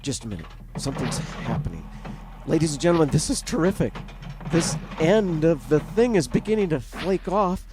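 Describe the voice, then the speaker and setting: An on-the-spot news reporter in an old-time radio drama. His voice sits fairly high, and he stammers with urgency through formal announcer phrasing, flustered and excited by the scene. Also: moderate pace, background noise. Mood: alarmed.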